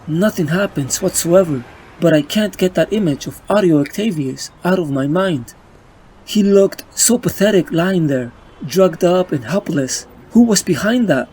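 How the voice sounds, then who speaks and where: A man acts out a young male superhero narrating a motion comic. His voice sits high for a man and he sounds weary and wry, brooding over his troubles. There is faint noise behind him. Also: a little mellow, natural voice. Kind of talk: scripted comic-book narration and dialogue.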